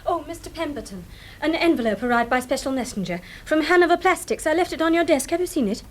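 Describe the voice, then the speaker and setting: An actress playing an attentive, efficient secretary with a British accent in a radio drama. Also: female voice, high voice, expressive, fast talker, a little hiss or hum. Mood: concerned, polite. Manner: polite, efficient. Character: attentive, solicitous female office secretary.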